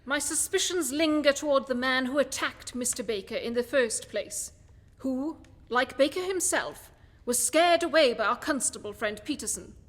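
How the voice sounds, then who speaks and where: A woman playing a cerebral, commanding male detective in a radio play, speaking in eloquent, measured, declamatory phrases. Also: high-pitched, a bit bright. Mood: pondering. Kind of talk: theatrical radio play monologue and dialogue. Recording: music behind the voice.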